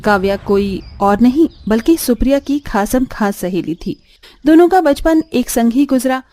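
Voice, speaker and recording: A woman's voice: fairly high pitch, animated, moderate pace, dark tone, soft background music. A woman giving dramatic scripted narration as the storyteller of a tale.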